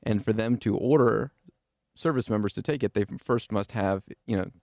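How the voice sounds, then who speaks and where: Male interview host, deep and warm, firm and earnest in tone, close dry mic. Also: normal speed, no echo. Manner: earnest, emphatic explaining. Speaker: podcast host. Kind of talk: interview podcast.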